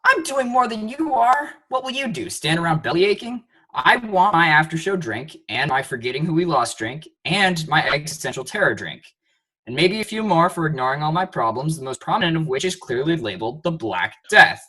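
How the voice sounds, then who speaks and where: A man's voice: on the high side, very animated, brisk, sharp tone, full character voice, low-quality recording. A man acting a flippant, hard-drinking theatre player in an audio play, sarcastic and bickering. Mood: wry, flippant.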